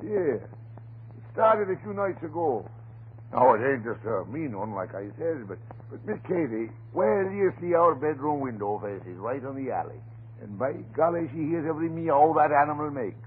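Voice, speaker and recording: An actor playing a chatty, stubborn off-duty police sergeant in an old-time radio drama, with a blustering, folksy way of talking. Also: male voice, fairly high pitch, very animated, normal speed, slightly rough, heavily acted. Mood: indignant, insistent. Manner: blustering, garrulous, folksy turns of phrase. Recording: noisy, narrow-band vintage radio recording.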